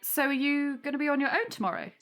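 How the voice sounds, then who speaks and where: Audio drama: welcoming peacemaker, gentle manner, lightly high voice, soft music underneath. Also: female voice, fast talker. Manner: hospitable, soothing. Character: kindly, welcoming woman hosting at Christmas, eager peacemaker.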